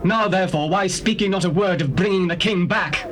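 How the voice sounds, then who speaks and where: Agitated high-voiced Israelite. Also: male voice, brisk, a bit bright. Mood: urgent. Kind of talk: dramatized scripture, classical stage acting. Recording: noisy old cassette tape.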